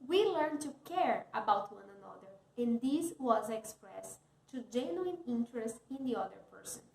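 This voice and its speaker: Woman, 30s, careful scripted delivery, a bit high, roomy sound. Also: expressive, moderate pace, vocal fry, darkish tone. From Brazil. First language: Portuguese. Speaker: teacher. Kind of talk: scripted talk, read aloud.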